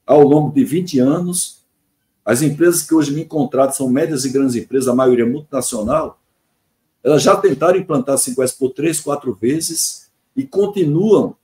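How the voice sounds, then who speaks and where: A man's voice: a little high, darkish tone. A man in his sixties with a Brazilian accent, explaining his work as a consultant in an earnest way, stressing points through repetition and lists. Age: 60s (62). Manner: earnest, emphatic repetition and listing. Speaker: podcast guest, engineering consultant. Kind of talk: explanatory interview answer, semi-formal.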